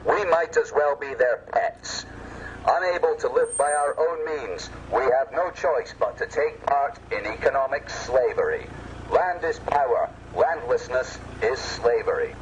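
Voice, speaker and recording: A man's voice: medium pitch, lively intonation, unhurried. A man delivering a scripted election address in a declamatory, sloganeering way. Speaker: political campaigner. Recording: dull lo-fi audio, faint vehicle noise.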